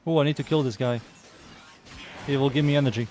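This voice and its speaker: A young man with a Serbian accent talks his way through a live game stream, half to himself and half to his chat. His voice sits at an ordinary middle pitch, and his tone stays loose and unhurried. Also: a little mellow, a little hiss or hum. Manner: mutters to himself, reads chat aloud, easygoing. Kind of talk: casual live-stream chatter while playing.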